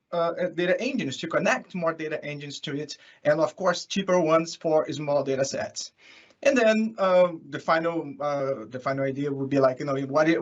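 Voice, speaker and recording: A man from Brazil with a Brazilian accent, speaking English as a second language, gives an informal, chatty online conference presentation as a consultant. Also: on the high side. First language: Portuguese. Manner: chatty, often chuckling. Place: remote online session from California. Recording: dull lo-fi online call audio.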